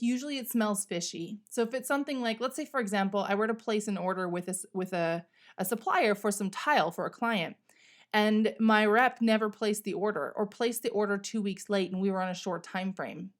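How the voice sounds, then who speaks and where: A woman's voice: mid-range voice, fairly quick. A woman hosting a solo podcast, talking casually and candidly in a conversational, emphatic way with some salty language. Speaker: podcast host.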